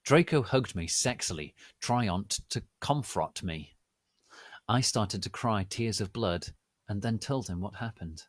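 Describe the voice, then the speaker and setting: A man narrating a comic story in a theatrical, deliberate, dramatic reading style. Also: lowish voice, lively intonation, no echo, clean studio sound.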